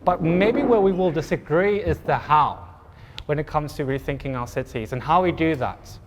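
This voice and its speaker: A man from Pretoria with an Afrikaans accent, moderating a public panel talk in a halting way, with many ums and restarts. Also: fairly high pitch, big-room sound. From Pretoria, South Africa.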